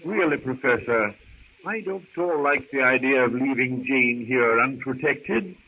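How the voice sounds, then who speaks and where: A man's voice: mid-range voice, expressive, a little slow, breathy edge. An actor playing a nervous, cautious male companion in an old-time radio drama, fretful and given to exclaiming. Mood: concerned. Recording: tinny vintage radio recording.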